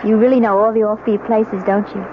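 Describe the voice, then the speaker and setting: A woman's voice: medium pitch, level tone, fast, noisy background, natural voice. A woman playing an anxious, suspicious newlywed wife in a radio drama, speaking politely and hesitantly. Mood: guarded. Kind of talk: 1970s radio drama acting. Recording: tinny band-limited old radio, music behind.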